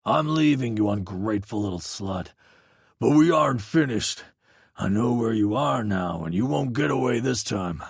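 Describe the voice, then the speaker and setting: A man's voice: mid-range voice, expressive, moderate pace, creaky, husky, dry recording, studio quality. A man doing a snarling, menacing brute. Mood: threatening. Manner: snarling threats. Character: menacing abusive man pounding on a door.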